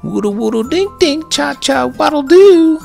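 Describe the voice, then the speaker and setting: A bold little schoolgirl from a children's storybook, acted out by a man. She is warm-hearted and emphatic, pitched up high and projecting loudly with lively swings. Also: measured pace, gravelly, soft background music, exaggerated voice. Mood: proud.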